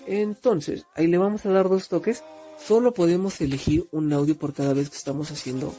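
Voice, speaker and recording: Mexican guy doing a casual app walkthrough, mellow voice, chats to viewers, bit of music behind. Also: a little high, steady tone, a little fast. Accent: Mexican Spanish. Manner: conversational, addresses viewers directly. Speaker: tutorial host. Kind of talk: informal step-by-step app tutorial.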